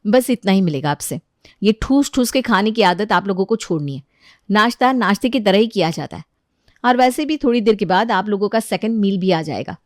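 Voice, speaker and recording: A woman voicing a weary, practical elder sister-in-law in an animated family story, wry and complaining. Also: medium pitch, brisk, no echo, clean studio sound, close to an everyday voice. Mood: firm.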